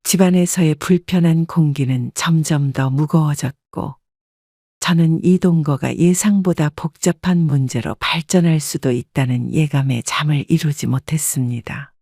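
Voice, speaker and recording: Older woman narrator, calm measured first-person storytelling, dry close sound. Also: low-pitched, no echo.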